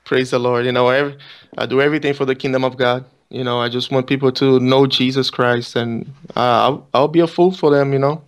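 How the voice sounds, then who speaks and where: A man from Brazil with a Brazilian accent, a pastor leading prayer and talking earnestly on a call-in ministry show. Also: mid-range voice. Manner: earnest, repeats phrases, preaching tone. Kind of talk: radio ministry talk and prayer.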